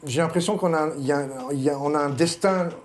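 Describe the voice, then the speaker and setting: A man's voice: on the high side, quick pace, reverberant. A man hosting an interview, asking probing questions in a conversational way.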